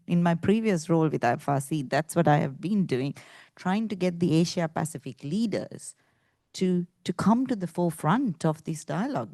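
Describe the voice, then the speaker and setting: A woman's voice: low-pitched, mellow, dry recording, studio quality. A woman speaking English as a second language, earnestly making her point on a discussion panel. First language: not English. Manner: earnest, thinking aloud with restarts.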